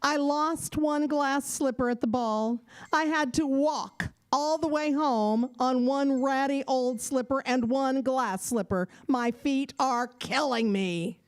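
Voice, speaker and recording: A woman's voice: high voice, takes it slowly, hardly any pauses, clean tone. A woman playing a sweet, wishful young fairy-tale heroine in a children's audio play, earnest and pleading. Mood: woeful. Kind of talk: children's audio theater acting.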